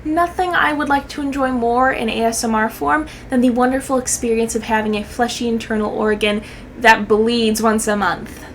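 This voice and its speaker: A woman's voice: on the high side, a little hiss or hum. A woman giving casual comedic reaction commentary in a sarcastic, exaggerated way, swearing freely. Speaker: YouTube commentary host.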